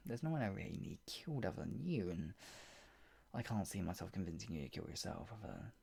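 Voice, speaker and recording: A performer playing a possessive, sadistic yandere captor in an improvised ASMR roleplay, mocking and menacing, with a breathy voice that often drops toward a whisper. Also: low voice, very animated, darkish tone, full character voice. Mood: teasing, cruel. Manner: menacing, mocking, slow drawn-out taunts broken by laughs and sighs.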